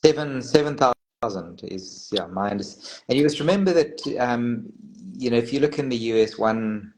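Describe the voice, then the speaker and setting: A man's voice: medium pitch, moderate pace, darkish tone, poor audio. A man from South Africa, a meadmaker, talking casually on a video call, rambling with many restarts and "you know". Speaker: meadmaker guest. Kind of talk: casual online panel chat.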